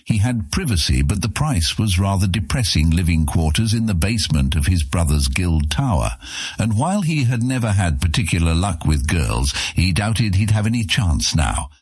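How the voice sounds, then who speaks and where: A man's voice: sits low, sing-song, moderate pace, a little mellow, slightly rough, dry recording. A man narrating a fantasy audiobook as a scripted story, in the role of narrator.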